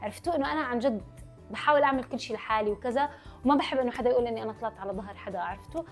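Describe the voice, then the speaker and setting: A woman's voice: fairly high pitch, racing, faint music behind. A young adult woman with a Levantine Arabic accent, chatting casually to her followers as a vlogger and running her words together. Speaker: vlogger answering followers' questions.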